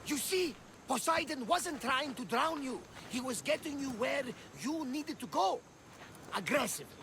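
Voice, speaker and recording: An actor playing an excitable older sailor in a video game, telling things theatrically in a Greek accent. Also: male voice, very high voice, moderate pace, slight background noise, exaggerated voice. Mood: jovial, dramatic. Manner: theatrical, jovial storytelling. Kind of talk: cinematic video game acting. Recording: faint background ambience.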